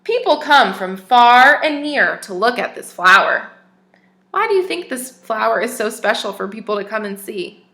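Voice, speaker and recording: Woman teacher doing storytime, bright clear voice, keeps asking the kids questions, echoey room. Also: a little high, normal speed. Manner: expressive, asks the young listeners questions. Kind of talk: reading a picture book aloud to children.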